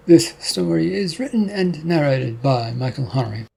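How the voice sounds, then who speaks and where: Man narrating a story, measured, gravelly, husky. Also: on the high side, lively intonation, dark tone, poor audio. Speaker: author and narrator. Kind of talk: scripted audiobook narration.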